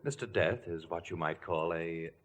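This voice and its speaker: An actor playing a suave, sardonic murder victim in a nightmare, in 1940s radio style. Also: male voice, low voice, natural voice. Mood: gloating. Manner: smooth, sardonic, unhurried. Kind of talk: 1940s radio mystery acting.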